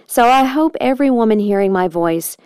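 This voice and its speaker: A woman announcer delivers a scripted promotional read. Her voice is smooth and warm, recorded dry and close. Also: a little high, animated, brisk, no room sound.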